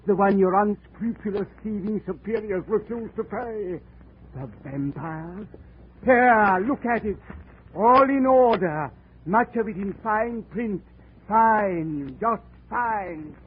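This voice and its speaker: A man's voice: sits high, slow, a little hiss or hum. A man playing a bitter, scheming murder suspect in a 1940s radio drama, sneering and defiant. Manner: sneering, defiant, panics when cornered. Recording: tinny old radio broadcast recording.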